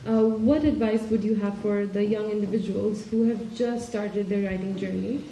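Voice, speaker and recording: A woman's voice: medium pitch, steady tone, normal speed, warm tone, slight background noise. A young woman moderating a library Q&A, polite and a little hesitant as she asks questions. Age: young adult. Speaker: event moderator. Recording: distant, dull, echoey mic.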